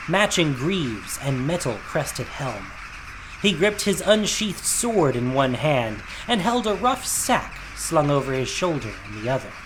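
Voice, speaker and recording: Animated male storyteller narrating fantasy drama. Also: a little high, noisy background.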